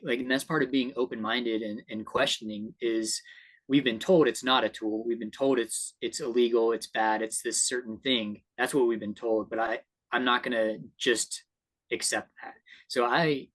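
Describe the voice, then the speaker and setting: A man's voice: medium pitch, fairly bright tone, low-quality recording. Earnest man in conversational discussion. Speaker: guest trauma-healing coach.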